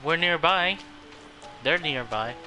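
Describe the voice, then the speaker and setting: A man's voice: fairly high pitch, lively intonation, slow. A man streaming a game with casual, hesitant commentary, thinking aloud as he plays. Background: game music.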